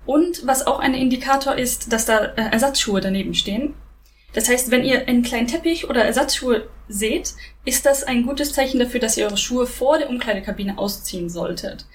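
Higher-voiced young woman, faint background hum. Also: brisk. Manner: relaxed, explaining, giggly. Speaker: co-host. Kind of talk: casual chat. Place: living in Japan.

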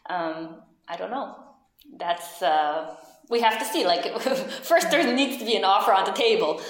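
A woman's voice: medium pitch, animated, measured pace. Hesitant woman in a roomy space. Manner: hesitant, many restarts and fillers. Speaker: interviewee. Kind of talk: casual conversation.

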